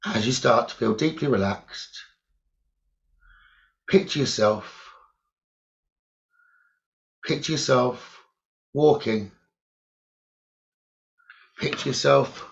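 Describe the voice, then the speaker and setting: Slow, soothing man guiding meditation. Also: medium pitch, expressive, gravelly, darkish tone. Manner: slow, soothing, repetitive instructions with long pauses. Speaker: meditation guide. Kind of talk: guided meditation. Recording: dull lo-fi recording.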